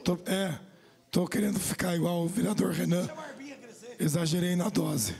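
A man in his forties with a São Paulo accent, hoarse and nearly out of voice, making brief council remarks on a handheld microphone. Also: sits high, fairly even intonation, moderate pace, darkish tone. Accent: Brazilian Portuguese, São Paulo state. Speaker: city councilman.

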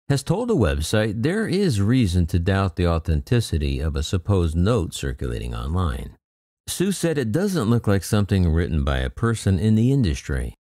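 A man's voice: low voice, dark tone, no echo, clean studio sound. A man hosting an aviation channel, reading news reports aloud in a scripted commentary and adding wry, skeptical asides. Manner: reads news quotes, then adds wry skeptical asides.

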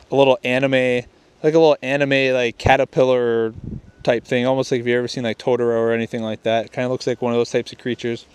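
A man's voice: mid-range voice, a little mellow, clean tone. A young man hosting a fishing video, rambling through a casual gear test on a lakeshore and often restarting his sentences. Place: outdoors on a lakeshore. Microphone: chest-mounted camera.

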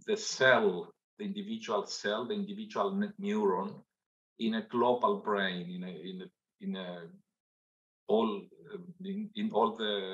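An older man speaking as a guest on a conference panel over a video call, slow and halting, with many pauses and restarts. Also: fairly low pitch, fairly bright tone. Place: home room, indoors. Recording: dull lo-fi video call audio.

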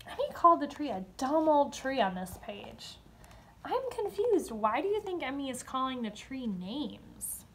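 A woman's voice: high-pitched, sing-song, measured pace, a bit bright, a little hiss or hum. A young woman teaching kindergarten from home, explaining simply and asking questions as if the children answer. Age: young adult. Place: small room at home. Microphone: device camera a step or two away.

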